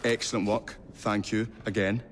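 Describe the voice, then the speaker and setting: A man's voice: lowish voice, level tone, moderate pace, long reverb tail, noisy background, close to an everyday voice. A man voicing a flustered, fussy, polite Victorian inventor who stammers in a game cutscene. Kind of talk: video game cutscene acting.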